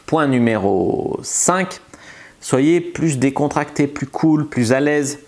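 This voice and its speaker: A man's voice: fairly high pitch, expressive, takes it slowly, a little mellow. Casual, chatty male seduction coach. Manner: loose and chatty, frequent filler words and restarts. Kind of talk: casual advice monologue to camera.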